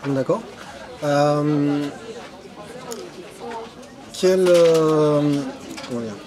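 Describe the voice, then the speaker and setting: A man's voice: fairly high pitch, extremely slow. Casual man interviewing over crowd noise. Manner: casual, probing questions. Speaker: street interviewer. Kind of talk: street interview questions. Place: outdoors at a summer riverside event. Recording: outdoor event with crowd noise. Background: crowd chatter.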